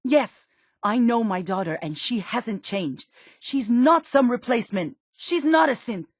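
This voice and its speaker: An actress playing a worried, protective middle-aged mother in a post-apocalyptic video game, speaking earnestly and emotionally, with halting phrases. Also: a little high, animated, dry recording, studio quality. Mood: distraught, insistent. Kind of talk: dramatic video game voice acting.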